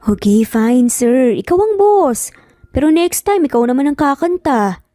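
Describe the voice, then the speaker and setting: Woman acting a cheeky young female employee, higher-pitched. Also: lively intonation, a little slow, warm tone, no room sound, clean studio sound, faint music behind. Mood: playful, cheeky. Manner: teasing, mock-dramatic pleading. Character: playful, cheeky young single-mother employee teasing her boss.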